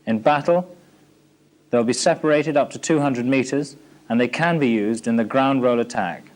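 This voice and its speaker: A man's voice: mid-range voice, moderate pace. Formal British male military film narrator. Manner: formal, matter-of-fact. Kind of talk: scripted instructional narration. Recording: 1980s archive training film soundtrack.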